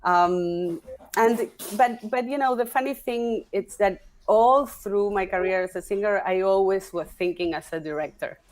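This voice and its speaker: A woman, a guest talking through her career in a relaxed interview, pausing and restarting as she thinks. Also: medium pitch, low-quality recording. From Argentina. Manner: halting, restarts often, thinking aloud. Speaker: guest, opera singer and stage director. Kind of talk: casual interview conversation.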